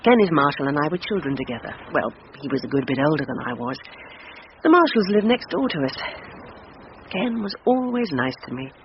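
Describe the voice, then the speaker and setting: Blunt, animated forthright woman, muffled recording. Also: sits low, fairly quick. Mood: exasperated, gossipy. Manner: blunt, wry, mildly swearing. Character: frank, forthright woman gossiping about an old friend. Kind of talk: radio drama acting. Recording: muffled, noisy radio drama recording.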